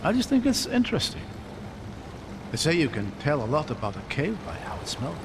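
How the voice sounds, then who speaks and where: A man playing a gentle, bookish scholar in a video game, articulate, curious and earnest. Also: fairly high pitch, huge pitch swings, fairly quick, background noise. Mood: curious, enthusiastic. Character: gentle, bookish male scholar, eager and grieving father. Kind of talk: video game cutscene acting. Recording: long cavernous reverb, music behind.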